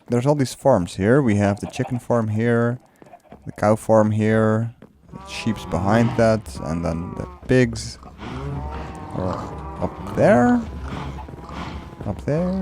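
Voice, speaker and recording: A man's voice: on the low side, darkish tone, slight background noise. A man whose first language is Dutch, casually commenting while he builds in a game, musing aloud and laughing often. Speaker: let's play creator.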